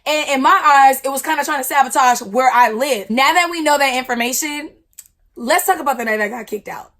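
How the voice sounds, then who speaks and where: A woman in her twenties, a YouTuber telling a storytime with a loud, blunt, slangy delivery. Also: high-pitched, a little fast. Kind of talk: storytime vlog.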